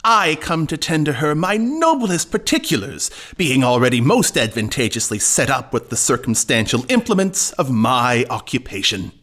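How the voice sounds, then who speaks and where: A pompous quack suitor from a period farce. He declaims in florid, pedantic phrases with a very lively melody. The manner is grand and theatrical. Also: mid-range voice, normal speed, few pauses. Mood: pompous wooing. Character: pompous, pedantic male trickster posing as apothecary suitor. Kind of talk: classical stage farce acting in an audio play.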